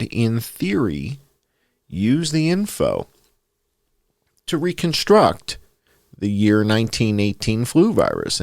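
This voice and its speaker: A man's voice: fairly low pitch, no room sound, clean studio sound. A man reading a scripted essay aloud as a narrator, in the style of a bedtime story.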